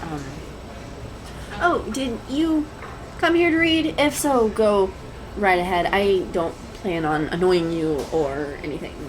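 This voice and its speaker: A woman's voice: mid-range voice, slow, close to an everyday voice. A woman playing a shy, adorkable young writer in an audio roleplay, speaking hesitantly with stammers, nervous giggles and apologetic phrasing. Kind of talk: intimate one-sided audio roleplay acting. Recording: clear background noise, cafe ambience effects. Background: coffee shop sound effects.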